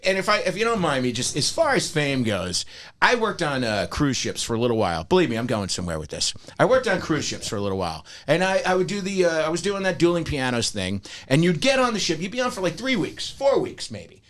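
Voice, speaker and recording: Male podcast co-host, lively swooping delivery, playful storyteller. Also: fairly high pitch, fast talker. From New York area. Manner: animated storyteller, acts out crowd voices loudly. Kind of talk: casual chat.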